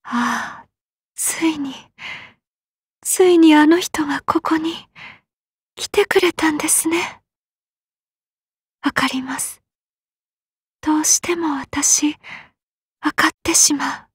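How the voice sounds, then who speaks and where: A woman voicing a gentle, melancholy valkyrie maiden in a video game, whispery and hesitant. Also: high-pitched, dry recording, studio quality. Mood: yearning. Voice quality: breathy, whispery. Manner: slow, hesitant. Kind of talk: video game character voice lines.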